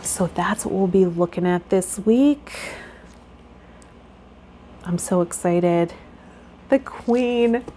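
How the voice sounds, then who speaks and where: A woman's voice: fairly low pitch, mellow, slight background noise. A woman speaking to viewers as a tarot vlogger and spiritual guide, reading aloud and chatting.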